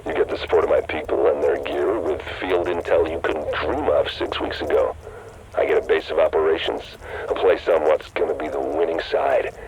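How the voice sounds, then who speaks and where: A calculating rogue leader talks in a very deep, even voice. He is calm, persuasive and matter-of-fact. Noisy game ambience sits beneath the dialogue. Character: scheming male rogue leader, cool and calculating. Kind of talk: video game cutscene dialogue.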